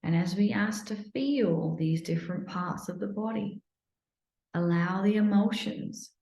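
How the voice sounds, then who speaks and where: A woman leads a guided meditation in a soothing, unhurried manner, slipping at times into near-whispers. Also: medium pitch, dark tone. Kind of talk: guided meditation, improvised live. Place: indoor room, live online video session. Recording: roomy, echoey sound.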